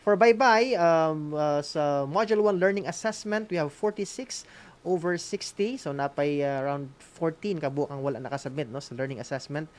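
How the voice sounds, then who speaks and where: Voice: mid-range voice, brisk. A speaker with a Visayan Filipino accent co-hosting an educational radio program, talking in a hesitant, conversational way with frequent tag questions and code-switching. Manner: hesitant phrasing, frequent "no?" tags, mixes in Cebuano. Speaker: co-host of a school-on-the-air radio program.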